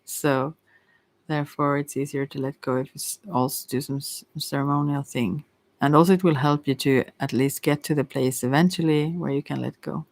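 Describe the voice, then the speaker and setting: A psychic tarot reader speaking in a gentle, consoling ASMR style, rambling and often correcting themselves while giving unscripted advice. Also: mid-range voice, fairly even intonation, moderate pace.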